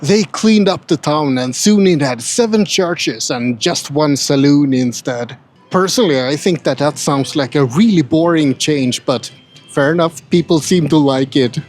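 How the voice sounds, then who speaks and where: A man's voice: on the high side, a little slow, slight background noise. A man from Sweden, narrating his travel vlog in a dry, wry and grumpy humor. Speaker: travel vlogger.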